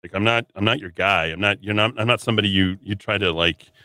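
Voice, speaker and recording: Combative, bright-voiced talk radio host. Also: male voice, fairly low pitch, fast, no room sound, clean studio sound. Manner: combative, rambling, repeats words and restarts. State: sniffling with a runny nose. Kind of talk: solo talk radio monologue. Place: radio studio.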